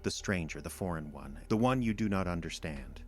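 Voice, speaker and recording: A very low-voiced alien being, acted by a man, pronounces judgment in formal, deliberate phrases. It is cold and condemning, in audio drama style with music underneath. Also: lively intonation, a little mellow. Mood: grim, accusing.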